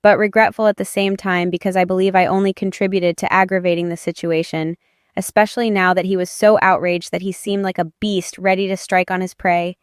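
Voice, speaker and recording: A woman's voice: on the low side, little pitch movement, brisk, clean tone, no room sound, clean studio sound. A woman giving dramatic first-person narration of a romance audiobook.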